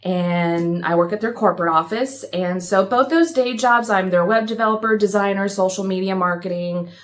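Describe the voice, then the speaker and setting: Woman in her thirties, from Virginia, mid-pitched, easygoing vlog host. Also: normal speed. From Appalachian Virginia, US. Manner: chatty, easygoing, with light laughs. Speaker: metalsmith and maker. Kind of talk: casual talking-to-camera vlog.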